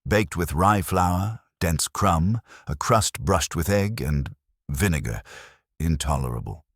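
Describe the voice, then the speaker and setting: A man's voice: low voice, animated, takes it slowly, breathy edge, no echo, clean studio sound, heavily acted. A man voicing a haughty, irritated detective genius who pronounces each thing precisely and pompously. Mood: disdainful.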